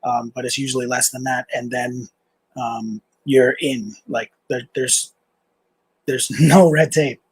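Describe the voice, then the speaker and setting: A middle-aged man, a nonprofit founder, answering interview questions in a halting, casual way. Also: medium pitch, fairly even intonation, husky, rough recording. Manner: halting, with many "uh"s and restarts.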